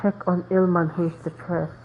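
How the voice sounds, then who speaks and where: A woman giving casual commentary as she plays a game, halting and thinking aloud. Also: fairly low pitch, little pitch movement. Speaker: gaming streamer. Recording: narrow phone-like mic, faint noise.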